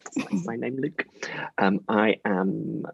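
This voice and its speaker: A low, gravelly man talks gently from a small room at home, his voice husky and a little breathy. The dull, lo-fi video-call sound is very dry, with no room echo. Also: lively intonation, slow pace. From Scotland. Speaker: artist and guest. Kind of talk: casual conversation over video call.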